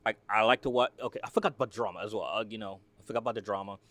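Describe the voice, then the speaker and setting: A man's voice: lowish voice, very fast, a bit bright, no echo. A man from the United States hosting a reaction video, talking casually in a rambling, filler-heavy, chatty way.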